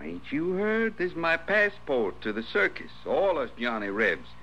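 An actor playing a rowdy, insolent ex-Confederate cowboy with a drawl in an old radio western. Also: male voice, fairly high pitch, big pitch swings, unhurried, pushed and bright, muffled recording, full character voice. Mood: insolent taunting. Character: cocky, rowdy drinking ex-Confederate cowboy troublemaker. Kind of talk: 1950s American radio western acting. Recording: noisy old radio recording.

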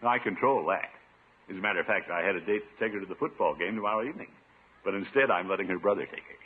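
A man's voice: deep voice, very fast, husky. A man playing a pompous, thrifty middle-aged uncle in a 1950s radio sitcom, blustering and easily flustered, with nervous chuckles. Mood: smug, lecturing. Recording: dull, muffled 1950s radio recording.

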